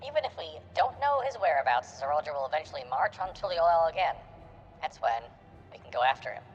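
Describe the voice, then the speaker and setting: A man's voice: a little high, sing-song, fast talker, pitched above the performer's own voice. Game role: shrewd, formal resistance strategist played by a male reader, swooping intonation, dull recording over music. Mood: calm, strategic. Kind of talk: acted reading of video game dialogue.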